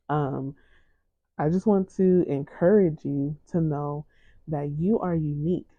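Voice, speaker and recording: A woman podcast host giving a gentle, encouraging faith-based talk in a soft, low, soothing voice. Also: no echo, narrow, tinny audio.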